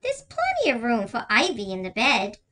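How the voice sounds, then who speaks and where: A woman's voice: a little high, huge pitch swings, normal speed, bright, forceful tone, pitched above the performer's own voice, exaggerated voice. A woman voicing a sleepy, obliging mother in a children's storybook, in a soothing manner.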